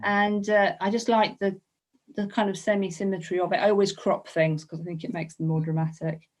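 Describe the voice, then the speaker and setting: A woman's voice: mid-range voice, flat delivery, fairly quick, darkish tone. A woman being interviewed as an illustrator, talking casually about her work and thinking aloud with hesitant, repeated phrases. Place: online video call.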